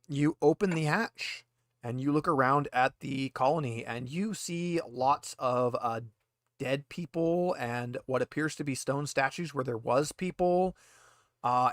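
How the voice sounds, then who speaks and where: Guy game mastering, slightly high voice, dry close sound, talks it out haltingly. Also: dry recording. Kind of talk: improvised tabletop game narration.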